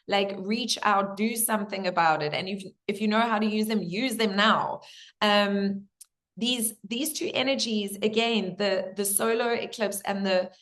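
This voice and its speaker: A woman with a hoarse voice, talking viewers through spiritual guidance in an earnest, gentle way and calling them guys. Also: medium pitch. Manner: addresses viewers as guys, many fillers. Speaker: spiritual coach.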